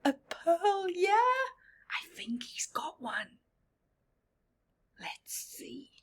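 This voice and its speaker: A woman reading a picture book aloud to young children, dramatic and expressive, with simple asides and questions to the listener. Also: very high-pitched. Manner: slow, simple child-directed phrasing. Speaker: storyteller for children.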